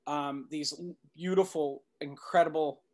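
A middle-aged man with a somewhat high voice talks as an interview guest. He answers conversationally, halting as he thinks, from a room on a video call. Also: steady tone, darkish tone. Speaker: documentary filmmaker being interviewed. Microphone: computer mic on video call.

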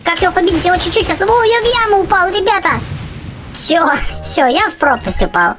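High, youthful voice doing game commentary. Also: expressive, fairly quick, music in the background. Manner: chatty, exclamatory, repeats phrases. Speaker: gaming channel host. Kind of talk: excited casual gameplay commentary. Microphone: voice-over on game footage.

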